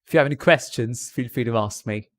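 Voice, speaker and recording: A man's voice: mid-range voice, fast talker, dry recording. A man doing a put-on British accent, chatting on a live stream from India and giving rambling, jokey tips.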